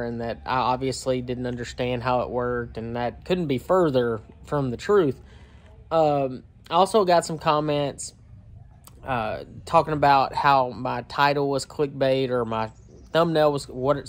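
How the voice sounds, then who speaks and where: A man, hosting a fishing channel and talking informally straight to a phone camera on a cabin porch. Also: medium pitch. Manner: talkative, informal, uses y'all. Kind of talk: casual direct-to-camera vlog. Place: outdoor porch of a wooden cabin. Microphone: phone camera at arm's length.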